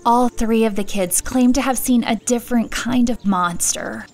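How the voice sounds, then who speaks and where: A woman acting a calm, practical, caring elf in an audio drama. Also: a little high, moderate pace, soft background music, lightly acted. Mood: calm, concerned.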